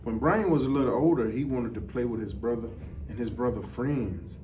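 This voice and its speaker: A middle-aged man reads a children's storybook aloud in a measured, deliberate way. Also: mid-range voice, warm tone. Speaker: deputy fire chief. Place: indoor office, seated. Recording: distant mic, some background noise.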